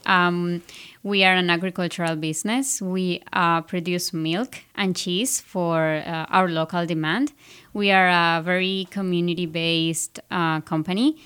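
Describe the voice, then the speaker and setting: Woman with a very clear voice. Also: on the low side. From Ecuador. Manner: earnest, steady explaining. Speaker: graduate student guest. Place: radio studio.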